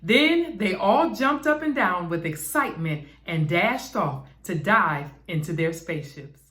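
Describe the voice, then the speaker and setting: A woman reading a children's bedtime story aloud as an expressive narrator. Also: on the low side, unhurried. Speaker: storybook reader. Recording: echoey room.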